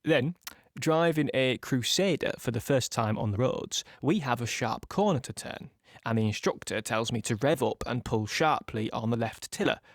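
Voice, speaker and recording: An actor playing a young British tank gunner in an audio play monologue, plain-spoken and reflective, in short halting phrases. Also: male voice, medium pitch, no room sound, studio quality, lightly acted. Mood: amused.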